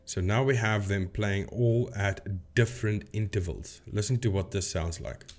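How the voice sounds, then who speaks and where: A man's voice: on the low side, unhurried, a little mellow. A man hosting a casual explainer video, talking informally to viewers and thinking aloud as he demonstrates. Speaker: video host, paranormal debunker.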